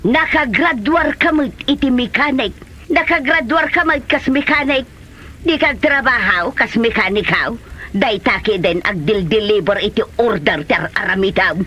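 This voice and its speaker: Man playing a shrill, nagging Ilocano mother. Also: moderate pace, sharp tone, dull audio, exaggerated voice. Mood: scolding, exasperated. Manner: scolding, complaining. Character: nagging, disappointed mother of a grown son. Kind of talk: comedy drama dialogue.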